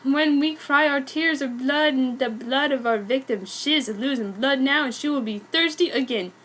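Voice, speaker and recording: A woman voicing an excitable male vampire in an urgent, explanatory manner for a fan fiction reading. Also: sits high, normal speed, poor audio. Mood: urgent warning.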